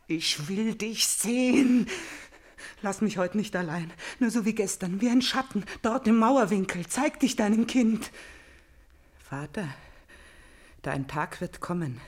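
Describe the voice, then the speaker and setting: Woman acting vengeful grieving princess, slow measured speech, intense, classical radio tragedy. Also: medium pitch, close to an everyday voice. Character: Elektra, grieving, vengeful princess calling to her murdered father.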